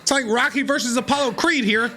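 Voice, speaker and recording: A man giving casual, animated, exclamatory reactions as he streams a video game. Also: extremely high pitch, quick pace. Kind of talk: excited gameplay reaction commentary. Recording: game music behind voice.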